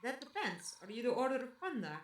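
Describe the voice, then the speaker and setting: A woman's voice: on the high side, big pitch swings, fairly quick. A woman playing an earnest, defiant young noblewoman in an audio drama. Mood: guarded. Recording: echoey, distant, music underneath.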